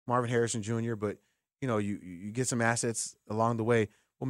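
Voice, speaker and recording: A man's voice: mid-range voice, no echo. Dry-sounding man hosting sports talk radio. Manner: conversational, thinks aloud, asks rhetorical questions. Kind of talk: sports call-in talk, opinionated commentary. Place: radio studio. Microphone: close studio microphone.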